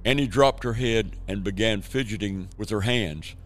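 A man's voice: fairly low pitch, normal speed. A man narrating a scripted radio drama as its storyteller.

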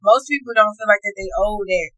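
Young woman guest near thirty; quick, emphatic, swears a lot, dry close sound. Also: medium pitch, a bit bright, no room sound, muffled recording. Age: young adult. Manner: emphatic, profane storyteller. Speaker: podcast guest. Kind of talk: casual group chat.

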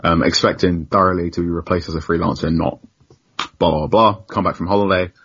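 A man's voice: low-pitched, fairly even intonation, fast talker, fairly bright tone, highs cut off, slight background noise. A young man, a journalist guest telling stories animatedly on a podcast, laughing often and acting out quotes. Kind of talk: casual podcast conversation.

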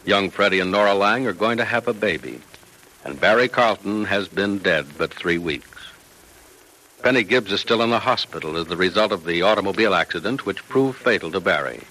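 A man's voice: low-pitched, lively intonation, fairly quick, sharp tone, low-quality recording. A man announcing and narrating in a polished, emphatic old-time radio style, on a vintage broadcast recording.

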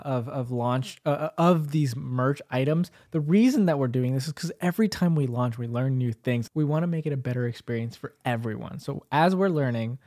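A man's voice: fairly high pitch, dark tone, dry recording, clean studio sound. A young man co-hosting a casual podcast, upbeat and chatty, restarting his sentences often. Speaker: podcast co-host.

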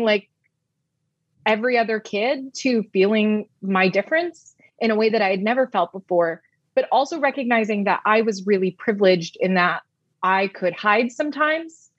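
A woman's voice: medium pitch, dry recording, lo-fi sound. Reflective woman, native Arabic speaker. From United States (Brooklyn, New York). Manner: reflective, with frequent restarts and soft laughs. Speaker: guest, journalist. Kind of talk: candid interview answers.

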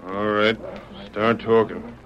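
A wary Old West cafe owner with a low voice. He answers in curt, folksy phrases, giving little away, in vintage radio western style. The recording is dull and lo-fi. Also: flat delivery, slow, a little hiss or hum. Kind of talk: 1940s radio western acting.

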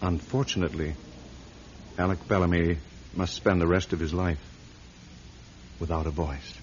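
An actor playing a brisk, earnest hospital doctor in a radio drama, formal and authoritative. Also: male voice, low voice, steady tone, close to an everyday voice. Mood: grave, measured. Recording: dull radio recording with faint noise.

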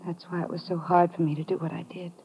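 An actress playing a treacherous, calculating femme fatale in a 1950s radio drama. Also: female voice, fairly low pitch, flat delivery, fast, slight background noise, lightly acted. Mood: hushed regret. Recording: dull vintage radio recording.

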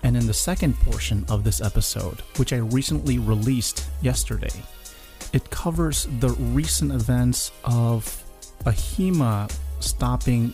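A man's voice: mid-range voice, warm tone. A man hosting a podcast, reading a promotional intro. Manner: promotional, reads calls to action. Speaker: podcast host.

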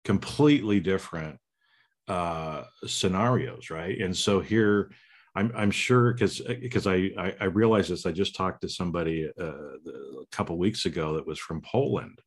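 Deep-voiced rambling male host. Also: measured pace, breathy edge. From United States. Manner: rambling, many restarts and tag questions. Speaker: podcast host. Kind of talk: casual interview chat.

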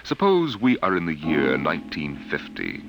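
A man's voice: a bass voice, wildly swooping pitch, moderate pace. A man narrating a 1950s radio drama in a solemn, theatrical way. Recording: vintage radio recording with loud music.

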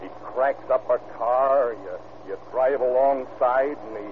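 An actor playing a gruff, excitable, slangy policeman in an old-time radio drama. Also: mid-range voice, unhurried, natural voice. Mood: sceptical. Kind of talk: 1930s-40s radio drama acting. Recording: tinny, noisy old radio recording.

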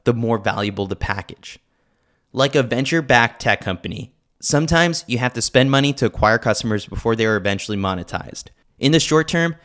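A man's voice: fairly low pitch, a bit bright. A man reading a scripted opinion newsletter aloud as a podcast host. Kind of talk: scripted narration, opinion commentary.

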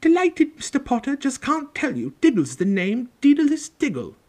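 A man voicing an excitable, easily delighted little gentleman who gushes in exclamations, for a storybook. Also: very high-pitched, normal speed, pitched above the performer's own voice, exaggerated voice. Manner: exclamatory, gushing.

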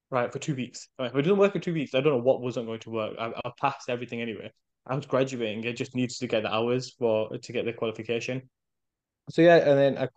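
A British man chatting casually as a podcast guest, telling animated anecdotes and acting out bits of dialogue in a chatty way. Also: mid-range voice. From United Kingdom. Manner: chatty, animated storytelling with self-quoted dialogue.

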